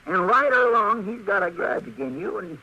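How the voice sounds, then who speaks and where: An actor playing an excitable, loyal frontier townsman with a folksy rural Western drawl in an old-time radio western. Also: high voice, moderate pace, highs cut off, exaggerated voice. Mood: urgent. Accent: rural American Western.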